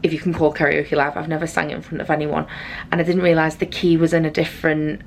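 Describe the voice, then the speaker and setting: A woman with a naturally raspy voice, hoarse from losing her voice, chatting through a rambling vlog recap in her cruise cabin on a handheld camera. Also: deep voice, flat delivery, fast talker, slight background noise. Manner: chatty and rambling, with frequent fillers. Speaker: travel vlogger. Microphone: handheld compact camera.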